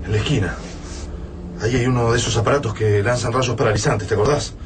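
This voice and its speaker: An Argentine actor playing a wary, intuitive resistance survivor in a radio drama, with a cautious, earnest manner. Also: male voice, medium pitch, fast talker, background noise, natural voice. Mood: wary, urgent.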